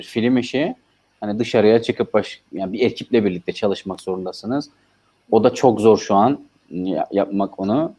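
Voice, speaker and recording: A man with a low, mellow voice answers interview questions as a filmmaker. He talks calmly and reflectively, filling pauses with short hesitation sounds. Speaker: guest, filmmaker.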